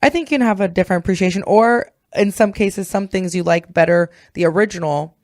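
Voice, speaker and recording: Female podcast co-host, average pitch, easygoing casual chat, very dry close recording. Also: brisk, a little mellow, no echo.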